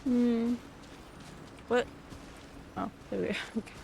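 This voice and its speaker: A woman's voice: on the high side, steady tone, slow, gravelly, background noise. A woman game streamer giving casual livestream commentary in wry, muttered asides. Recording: game music under the voice. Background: game music.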